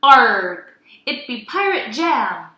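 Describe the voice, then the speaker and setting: A woman voicing a hearty, boisterous pirate market seller for a children's storybook, with drawn-out hawking calls. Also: on the high side, very animated, bright, forceful tone, echoey room, exaggerated voice. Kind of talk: children's storybook read-aloud.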